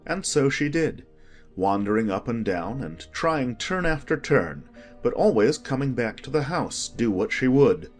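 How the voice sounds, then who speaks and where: A male narrator tells a children's story with an animated, expressive delivery. His pitch is mid-range and moves widely, over faint music. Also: measured pace. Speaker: audiobook narrator.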